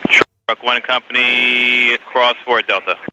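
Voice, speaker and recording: A man's voice: mid-range voice, flat delivery, measured pace. Noisy radio, male air traffic controller. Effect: two-way radio. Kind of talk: clipped radio instructions to aircraft and vehicles.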